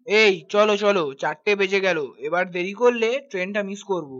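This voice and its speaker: A man voicing a reassuring, brisk young friend in a cartoon. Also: high voice, fairly bright tone. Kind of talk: cartoon voice acting. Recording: dull track with music behind.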